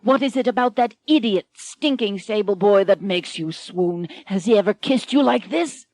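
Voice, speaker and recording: Woman doing a mean jealous duke, snarly and slow, lower than her normal voice, dry sound. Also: darkish tone, no echo, close to an everyday voice. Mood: furious. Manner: snarling, contemptuous. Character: brutal jealous male duke, domineering husband.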